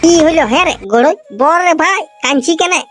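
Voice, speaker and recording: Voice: very high-pitched, fairly quick, a bit bright, slight background noise. A performer with a Purulia Bengali accent voicing a bossy, teasing village lad in a comedy cartoon, scolding in a rustic drawl. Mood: impatient. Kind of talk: exaggerated comedy cartoon dialogue.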